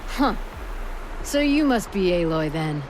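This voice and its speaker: A woman's voice: fairly low pitch, big pitch swings, slow, background noise. A woman playing a bold, flirtatious, earthy metalsmith in a video game, frank and playful. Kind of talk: video game cutscene acting.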